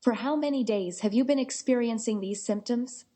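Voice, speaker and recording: A woman's voice reading screening questions as an automated app prompt, in an even, neutral manner. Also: fairly high pitch, moderate pace.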